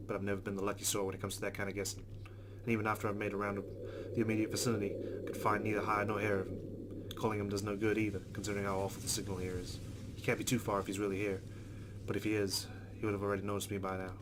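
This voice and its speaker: A man's voice: on the low side, noisy background. A man hosting a let's play, reading visual novel narration aloud.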